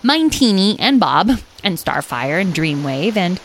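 Woman playing a chatty, rambling, excitable boy. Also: fairly low pitch, a little slow, slight background noise, poor audio. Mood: dreamy, chatty. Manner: rambling, full of likes and you-knows.

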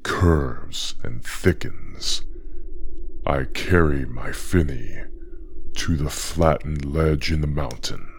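A man playing a sinister, possessive ancient being of river and forest, narrating an audio drama slowly and ominously in a husky voice. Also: slight background noise, full character voice. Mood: ominous, brooding. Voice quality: husky, very deep. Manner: slow, deliberate, ominous. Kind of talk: dramatic first-person audio fiction narration.

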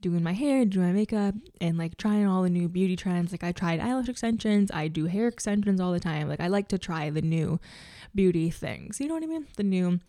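A woman's voice: lowish voice, lively intonation, fairly quick, mellow, no room sound. A woman of twenty-five chatting casually and enthusiastically about things, with a girly, chatty manner, as a beauty content creator. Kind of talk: casual chat.

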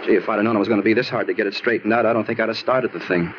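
An actor playing a moody American murder suspect, insistent and naively self-justifying, in a 1950s radio drama style. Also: male voice, fairly low pitch, fairly even intonation, racing, close to an everyday voice. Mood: insistent, wounded. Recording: muffled noisy old radio recording.

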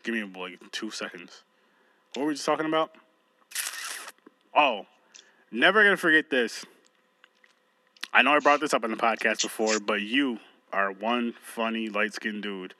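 A man's voice: medium pitch, moderate pace, long pauses, sharp tone, no echo. A man co-hosting a podcast, chatting loosely with a friend, joking and swearing as he goes. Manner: loose, joking, profane banter. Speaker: podcast co-host. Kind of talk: casual chat.

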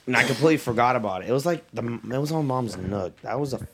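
A man's voice: mid-range voice, expressive, quick pace, creaky, a little hiss or hum. A young man chatting casually with friends, rambling and playful as he tells stories. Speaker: friend in group chat. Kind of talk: casual chat.

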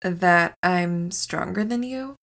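A woman's voice: fairly low pitch, slow pace, creaky, fairly bright tone, exaggerated voice. A woman acting an easygoing, teasing, independent wife who is secretly a superhero, in an audio comedy drama.

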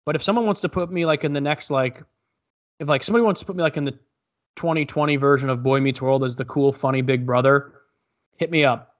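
A man joking around as a podcast guest, tossing out deadpan quips. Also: medium pitch, quick pace. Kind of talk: casual podcast chat.

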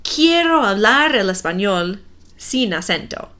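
A woman in her forties with a non-native accent, reading a simple story slowly and carefully for language learners. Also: medium pitch, big pitch swings, bright, forceful tone. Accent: non-native Spanish accent. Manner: careful, deliberate reading with clear pauses. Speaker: Spanish teacher.

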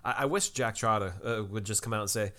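A young man co-hosting a podcast, relaxed and laughing often as he recaps a TV episode. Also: fairly low pitch, fast talker. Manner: laid-back, laughs often, dry remarks. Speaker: podcast co-host. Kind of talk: casual TV recap chat.

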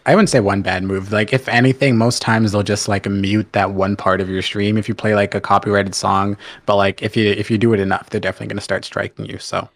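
Soft-spoken man with a low soothing voice. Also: little pitch movement, fairly quick, vocal fry, few pauses, dry recording. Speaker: podcast guest, game streamer. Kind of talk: casual podcast chat.

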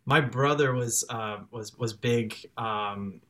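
A man's voice: medium pitch, takes it slowly. A young man with a Chicago accent who grew up speaking French, a musician chatting casually as a guest over a video call, laughing often. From Chicago, raised in France till six. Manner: laughs often, restarts and fillers, relaxed.

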